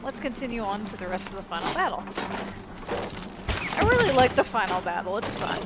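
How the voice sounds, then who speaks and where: A woman's voice: medium pitch, sing-song, fairly quick, heavy background noise. A woman giving casual, offhand, rambling commentary as she plays a video game. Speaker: video game let's player. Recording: loud game music behind voice. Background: loud game music and effects.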